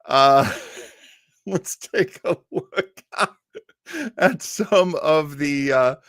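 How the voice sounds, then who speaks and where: Casual male web-show host, jokey and loose, with very animated intonation. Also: a little high, drawn-out words, no echo, studio quality. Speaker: host of an old-time radio web show. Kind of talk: casual livestream chat reading viewer comments.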